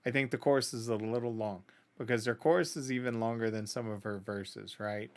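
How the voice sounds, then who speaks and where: A man's voice: medium pitch, fairly even intonation, brisk. A middle-aged man hosting a music reaction video, talking casually and conversationally, giving opinions and often checking in with the listener. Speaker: host of a music reaction channel.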